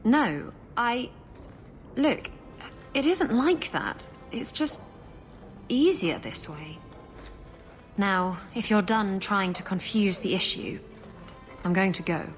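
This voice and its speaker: A woman's voice: medium pitch, expressive, slow pace, pauses often, soft background music. An actress playing a sultry, guarded pirate woman in a video game, teasing and flippant. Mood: defensive.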